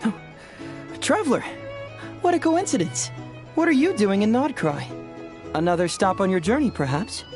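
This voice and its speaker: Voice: medium pitch, sing-song, moderate pace, long pauses, music in the background, natural voice. An actor voicing a cheerful, curious detective in an anime-style video game, chatty and playful. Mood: delighted surprise. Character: cheerful, curious detective pursuing an Inazuman fugitive.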